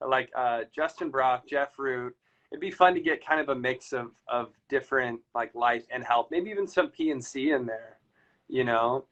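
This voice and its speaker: A man's voice: medium pitch, moderate pace, fairly bright tone. A man chatting casually as host, rambling along with plenty of filler words. His voice sits in an ordinary middle register. The audio is a little dull and lo-fi. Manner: loose, rambling, many filler likes. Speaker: podcast host. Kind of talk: casual chat.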